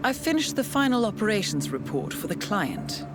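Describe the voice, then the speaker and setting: A woman playing a composed, authoritative intelligence handler in a video game, briefing an assassin in formal, measured, articulate speech. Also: mid-range voice, very animated, close to an everyday voice. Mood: measured, thoughtful. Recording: background music under the voice.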